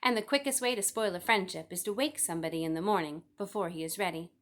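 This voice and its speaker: A woman's voice: on the low side, fast, lightly acted. A woman voicing a stern, sensible old sheep who scolds, in a children's storybook. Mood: scolding. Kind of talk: children's storybook read-aloud.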